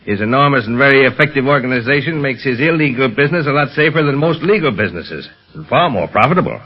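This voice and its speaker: An actor playing a calm, authoritative police chief in a vintage radio drama, speaking in a measured, commanding way. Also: male voice, medium pitch, close to an everyday voice. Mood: firm. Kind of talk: 1930s radio acting. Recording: dull lo-fi old radio recording.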